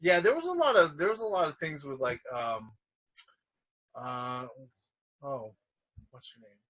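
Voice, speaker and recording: A man's voice: a little high, animated, measured pace, fairly bright tone, dry recording. A middle-aged man reviewing a movie in casual chat, rambling and searching for his words. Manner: rambling, full of ums and restarts.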